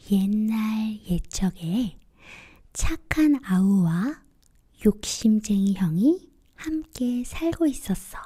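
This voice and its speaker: Slow, breathy woman storyteller, soft and mellow. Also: on the high side. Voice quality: soft, breathy, often near a whisper. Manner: gentle, slow, expressive phrasing. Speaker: children's storyteller. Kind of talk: quiet bedtime fairy-tale narration in casual storytelling speech.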